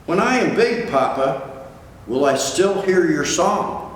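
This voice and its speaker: A man's voice: high-pitched, lively intonation, takes it slowly, voice pushed up. An older man voicing a curious, trusting young male whale calf with earnest questions in a storybook read-aloud. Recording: distant, very echoey hall, faint noise.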